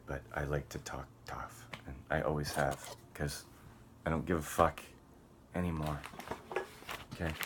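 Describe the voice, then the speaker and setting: A man's voice: very deep voice, a bit bright, a little hiss or hum. A man on a rambling solo vlog rant, profane and combative, swinging between muttering and outbursts. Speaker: vlogger.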